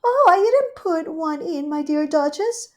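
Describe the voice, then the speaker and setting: A woman voicing a prim, genteel female cat hostess in a storybook reading, high and polite. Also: lively intonation, unhurried.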